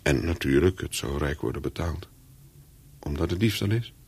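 An actor playing a calculating, persuasive young spy in a radio play, with terse, controlled speech and a husky voice. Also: male voice, extremely low pitch, animated, moderate pace, a little mellow, a little hiss or hum. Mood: reflective narration. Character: calculating young male spy, persuasive, looking back as narrator. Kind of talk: radio play dialogue and first-person narration.

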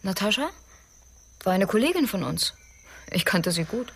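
A woman's voice: mid-range voice, big pitch swings, moderate pace, creaky, slight background noise. An actress playing a firm, practical and guarded woman at a research station in a naturalistic radio drama. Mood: subdued.

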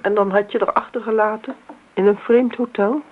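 An actress playing a wounded, sardonic grown daughter in a radio drama, speaking pointedly. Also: female voice, mid-range voice, steady tone, normal speed, a little hiss or hum, close to an everyday voice. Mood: reproachful. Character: reproachful, wounded grown daughter defending her mother. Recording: thin, phone-like old radio recording.

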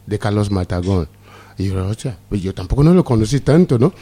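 A man's voice: lowish voice, animated, dark tone, breathy edge. A middle-aged man from Nigeria whose first language is Yoruba, a guest musician chatting on the radio and often restarting his words. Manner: halting, repeats words and restarts. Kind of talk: casual radio chat.

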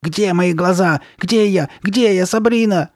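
A man's voice: high voice, animated, unhurried, a little mellow, no echo, clean studio sound, pitched above the performer's own voice. A man voicing a panicking, hot-tempered girl in a horror storybook, wailing and exclaiming desperately. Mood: horrified, wailing. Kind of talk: dramatised horror storybook reading.

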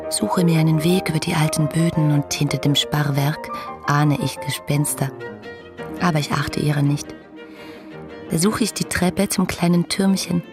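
Give the listener a young romantic in a radio drama, with music faintly behind her. Her voice sits low. She reads her letters intimately and lyrically, with intense longing.

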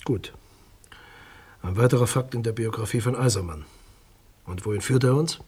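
Husky, officious male prosecutor, played by an actor. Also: mid-range voice, moderate pace, darkish tone, close to an everyday voice. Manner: formal, defensive, condescending. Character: dismissive, formal male public prosecutor, guarded and officious. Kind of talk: German radio crime drama dialogue.

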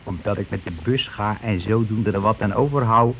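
Casting: scheming, bossy husband, streetwise and glib, in a radio play; noisy old recording. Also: male voice, on the low side, moderate pace, a bit bright. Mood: glib, persuasive. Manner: glib, colloquial, self-justifying. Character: scheming, bossy working-class husband, glib petty chancer. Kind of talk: Dutch radio play acting.

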